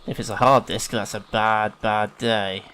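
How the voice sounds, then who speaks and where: A man's voice: lowish voice, level tone, unhurried, a bit bright, clean tone. An English man with a sniffly hay-fever nose gives casual, chatty, self-deprecating let's play commentary as a gaming host. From England.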